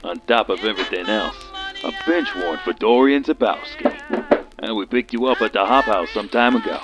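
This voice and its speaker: A man playing a gruff, mocking police officer in an audio drama, with a taunting manner. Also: on the low side, moderate pace, over loud music, natural voice.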